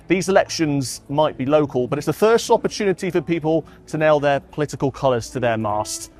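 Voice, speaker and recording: A man with a British accent reporting the news in a measured, emphatic broadcast style, both scripted and live. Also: mid-range voice, darkish tone.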